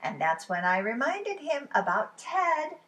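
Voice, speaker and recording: A woman reading a children's story aloud as expressive storytime narration, animated and with dramatic pauses. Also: sits high. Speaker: storybook reader.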